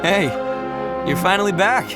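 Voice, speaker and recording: A woman's voice: medium pitch, lively intonation, normal speed, over loud music, lightly acted. A woman playing a cheerful, welcoming oni from a folk tale in a game, with a hearty, friendly manner. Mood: warm, welcoming. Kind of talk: scripted game dialogue.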